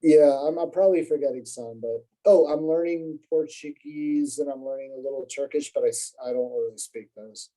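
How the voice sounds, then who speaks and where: A man on a podcast talks loosely and at length, stopping and restarting with plenty of fillers. His voice sits in a very dark, mellow register. He pokes fun at himself as he goes. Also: a little high, normal speed, few pauses, poor audio. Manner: rambling, self-deprecating, lots of fillers and restarts. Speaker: podcast guest. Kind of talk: casual conversational storytelling.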